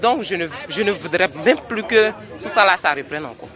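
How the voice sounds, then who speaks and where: A woman with an Ivorian accent, a local resident giving halting testimony outdoors. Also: mid-range voice, expressive. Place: outdoors in a town. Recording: outdoor, faint crowd behind.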